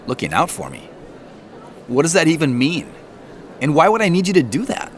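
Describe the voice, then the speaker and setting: A man's voice: on the high side, very animated, moderate pace, a little hiss or hum. A man acting in an audio drama as a wary, uneasy protagonist who also narrates the story. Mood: wary, suspicious.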